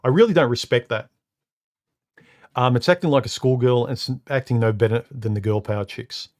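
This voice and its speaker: Guy doing a live stream at home, deep kinda muffled voice, lots of uh and um, keeps repeating words. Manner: halting, repeats words, many uh and um fillers. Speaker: live stream host reading comments. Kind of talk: casual live stream talk. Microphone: studio microphone.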